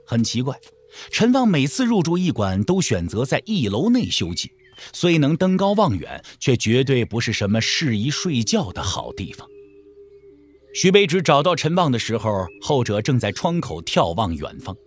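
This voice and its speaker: Animated male storyteller with wide-ranging pitch. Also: moderate pace. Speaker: audiobook drama narrator. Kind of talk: scripted dramatic storytelling narration.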